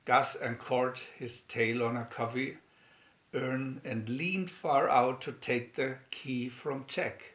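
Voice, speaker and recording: A man narrating a fairy tale as a scripted storybook, reading aloud deliberately. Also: mid-range voice, steady tone, a bit bright, roomy sound, highs cut off. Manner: deliberate read-aloud delivery.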